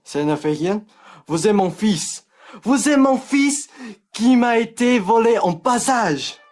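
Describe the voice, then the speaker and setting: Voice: mid-range voice, lively intonation, darkish tone. A young performer playing a theatrical older aristocrat in a French farce made as a radio play, melodramatic and declamatory. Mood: pompous, commanding. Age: young adult. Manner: melodramatic, declamatory, slow delivery. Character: theatrical older male aristocrat claiming a long-lost son.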